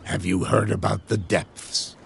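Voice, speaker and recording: A man's voice: fairly low pitch, little pitch movement, measured pace, gravelly, slight background noise. A man voicing a superstitious old sailor at the docks, knowing and teasing, in a video game.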